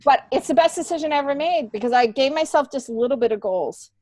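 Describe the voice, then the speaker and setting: A middle-aged woman talking casually and candidly as an interview guest, telling stories in an animated way and acting out bits of dialogue. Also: high voice, fast, no room sound. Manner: animated storytelling, acts out remembered dialogue. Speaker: comedian and TV worker, podcast guest.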